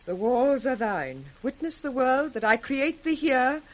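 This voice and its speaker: A woman's voice: high-pitched, animated, unhurried. An actress playing a proud, passionate noblewoman, haughty and formal, in 1960s radio Shakespeare. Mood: passionate, weakening.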